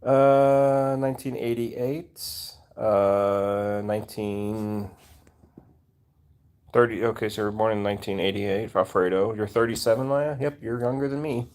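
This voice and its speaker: A man in his forties hosts a relaxed livestream, reading out chat and answering in a low, easygoing voice. He rambles and restarts often, stuffing in fillers. Between comments he turns to fuss over his cats. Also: unhurried. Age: 40s. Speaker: cat channel livestream host.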